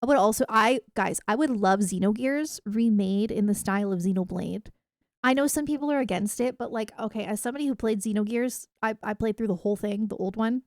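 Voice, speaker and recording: A woman's voice: medium pitch, brisk, no echo, studio quality. A woman livestreamer chatting casually with her viewers in an enthusiastic, emphatic gamer way. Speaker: video game livestreamer.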